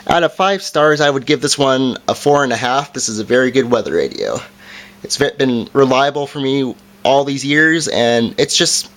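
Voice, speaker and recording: A man giving an informal, hands-on product review in a casual explaining style, recorded indoors. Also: medium pitch. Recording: background noise with handling clicks.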